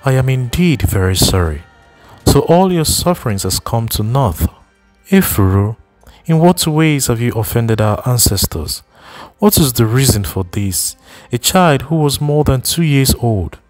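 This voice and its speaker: A man voicing an older village woman who laments slowly and at length to a grieving mother in a storybook reading. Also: mid-range voice, big pitch swings, darkish tone, soft background music, pitched above the performer's own voice. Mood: lamenting. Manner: slow, mournful, long-winded.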